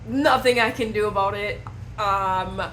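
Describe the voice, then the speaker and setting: A young woman from Wisconsin, slightly hoarse from a cold, chatting to camera at home in a rambling, self-deprecating way as a beauty vlogger. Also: mid-range voice, measured pace, fairly bright tone, slight background noise, rough recording. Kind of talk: casual chat to camera. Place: at home indoors.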